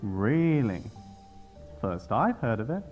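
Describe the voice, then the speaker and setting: A man's voice: medium pitch, sing-song, takes it slowly, darkish tone, faint music behind. An actor playing an officious male assistant with a dry, coolly sarcastic way of talking. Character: snippy, officious male assistant to a church dean.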